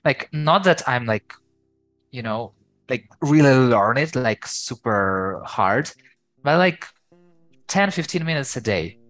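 A man with a Ukrainian accent, a guest on an interview podcast, talking in a lively, hesitant way full of fillers. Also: medium pitch, slow, no echo. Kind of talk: casual interview chat.

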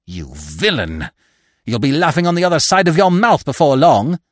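A man's voice: mid-range voice, very animated, moderate pace, no echo, studio quality. A man voicing a furious, vengeful widow in a storybook, melodramatic and taunting, with a cruel and fearful edge. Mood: scornful.

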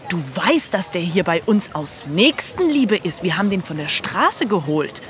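A woman's voice: on the low side, very animated, normal speed. A woman voicing a stern, angrily reproachful rich father in colloquial storytelling.

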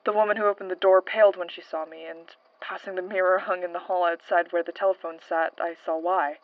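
A woman's voice: lowish voice, steady tone, fast, no echo, narrow, tinny audio, lightly acted. A woman playing a hard-boiled, world-weary female paranormal investigator in a 1920s noir audio drama, with wry, slangy delivery. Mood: grim, shaken. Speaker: investigator and narrator. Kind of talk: audio drama, noir-style recorded case statement.

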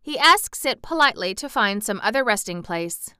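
A woman voicing a grave, gentlemanly wizard in a storybook, polite and formal. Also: medium pitch, expressive, normal speed, clear, resonant tone, no echo, studio quality, voice pushed up. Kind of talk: storybook character voice.

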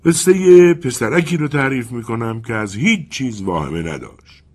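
A man's voice: mid-range voice, lively intonation, a little mellow, voice pushed up, close to an everyday voice. A man voicing a restless male wind spirit who tells half-remembered stories with a teasing air. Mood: musing.

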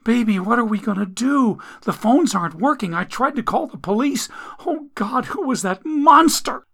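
A man doing a shrill, panicky squeamish woman. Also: unhurried, a bit bright. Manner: shrill, whimpering, breathless.

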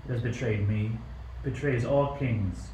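A man's voice: medium pitch, measured pace, warm tone, close to an everyday voice. An amateur actor playing a stern, suspicious tyrant king in a radio play, with an imperious, declamatory delivery. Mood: bitter. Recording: roomy, distant mic, faint noise.

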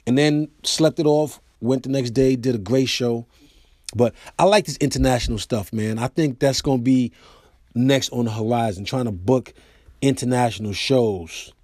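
An American man hosting his own podcast, telling comic stories in a loose, animated way. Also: mid-range voice, moderate pace, no room sound, studio quality. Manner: animated storyteller, acts out quoted voices. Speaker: podcast host and comedian. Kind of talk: casual storytelling monologue.

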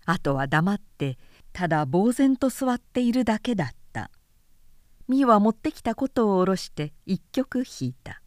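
A woman narrator giving a measured, clearly articulated reading of literary fiction in audiobook style. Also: mid-range voice, a little mellow, no echo, studio quality.

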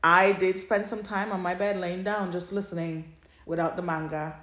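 Low voiced lady podcast host, casual and playful, kinda echoey roomy sound. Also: steady tone, moderate pace, fairly bright tone. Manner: playful, emphatic, informal with swearing. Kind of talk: casual chat about audio dramas.

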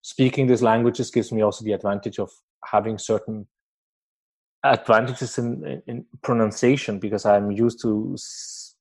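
A man's voice: lowish voice, steady tone, moderate pace, husky. Man hosting, laughs a lot. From Switzerland. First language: not English. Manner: laughs often, many fillers and restarts. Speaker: podcast host. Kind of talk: casual interview chat.

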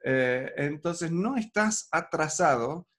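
A man talks like a coach in a relaxed podcast chat. His voice sits a touch high for a man. He keeps an upbeat tone and fills pauses with small hesitations. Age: 40s. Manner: upbeat, coaching tone with frequent fillers.

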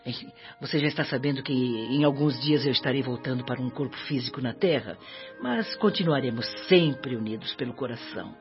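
A woman's voice: rumbling low, a little fast. An actress playing a kindly, devout elderly mother spirit in a Brazilian radio drama, speaking Portuguese in an earnest, maternal, moralizing way. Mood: grateful, affectionate. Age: older. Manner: maternal, earnest, moralizing with gentle tag questions. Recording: dull audio with background music.

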